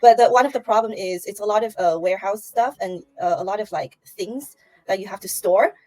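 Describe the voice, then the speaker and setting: A woman's voice: medium pitch, fairly quick, mellow, low-quality recording. A woman from Taiwan, a guest on a livestream interview, chatting casually with many fillers and restarts over a remote call mic.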